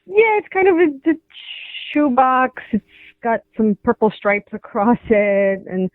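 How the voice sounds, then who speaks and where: A woman speaks as a caller on a radio show, in an emotional personal exchange. Her voice is high, and it comes through a narrow, dry telephone line. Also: very animated, measured pace, dry recording. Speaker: caller, wife on a radio prank call. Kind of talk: emotional phone confrontation. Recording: dry, muffled phone line sound.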